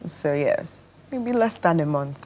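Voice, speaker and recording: Actress as interview guest: woman, Nigerian accent, deep register, relaxed casual talk, slight background noise. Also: a little slow, lo-fi sound.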